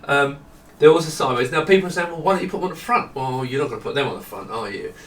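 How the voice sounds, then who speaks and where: A man's voice: medium pitch, animated, very fast, fairly bright tone. An English man giving an informal, unscripted product demonstration to camera in a rambling, explanatory way. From England. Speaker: presenter of his own product design video. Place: indoor room with studio lighting. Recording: roomy echo, distant mic, faint noise.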